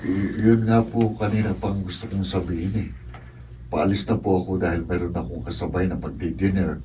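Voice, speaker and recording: Respectful, earnest young Filipino seminarian , male actor, radio drama. Low register, off-mic with noticeable noise. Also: fairly even intonation, moderate pace, dark tone, lightly acted. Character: earnest, respectful young seminarian, wistful about his old friend. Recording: noisy, distant amateur recording with room ambience.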